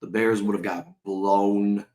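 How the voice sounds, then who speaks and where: Low-voiced man with a sore throat, ranting. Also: little pitch movement, slow pace, darkish tone, echoey room, a little hiss or hum. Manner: heated, profane, repetitive rhetorical questions. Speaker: sports channel host. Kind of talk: ranting fan commentary to camera.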